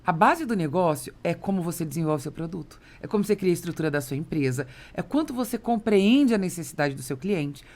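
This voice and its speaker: A woman's voice: lowish voice, expressive, a little fast, hardly any pauses, a little mellow. A Brazilian woman hosting a business podcast, explaining things conversationally and with emphasis. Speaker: podcast host.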